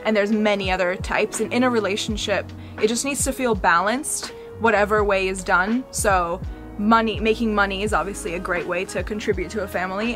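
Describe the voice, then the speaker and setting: A young woman from Bulgaria chatting casually with her husband on a vlog, laughing often and saying "like" a lot, recorded outdoors in a garden. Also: mid-range voice. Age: young adult. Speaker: vlogger, co-host. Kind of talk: casual chat.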